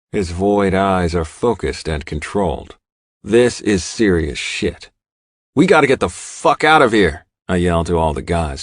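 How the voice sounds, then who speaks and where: Male audiobook narrator, low-pitched, dramatic first-person storytelling, dry close studio sound. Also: dry recording.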